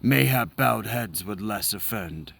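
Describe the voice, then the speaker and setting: A man voicing a gruff, insolent male mercenary captain in a comic dramatic reading of a game's dialogue. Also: fairly low pitch, animated, slow, a bit bright, heavily acted. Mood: mocking. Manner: mocking, insolent.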